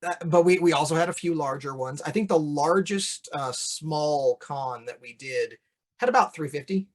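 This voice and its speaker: A man guest in a casual chat, speaking in a measured storytelling way with small repeats. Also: a little high.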